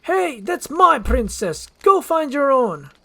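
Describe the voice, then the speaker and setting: A grumpy, gruff male ogre in a storybook tale, played by a woman. He blusters and protests with sarcasm, his pitch jumping around in a lively way. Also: slow, slight background noise, exaggerated voice. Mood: indignant.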